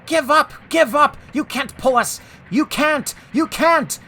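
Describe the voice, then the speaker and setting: A man's voice: very high-pitched, takes it slowly, sharp tone, voice pushed up, full character voice. A man voicing mischievous, defiant railway trucks in a children's story, screeching and jeering in chants.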